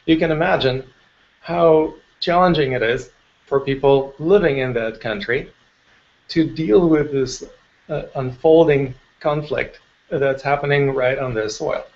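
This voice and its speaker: A man from eastern Ukraine addressing a meditation circle in a calm, measured way, pausing often with small fillers. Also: medium pitch, highs cut off. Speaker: meditation teacher. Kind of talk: slow spoken address to a meditation group.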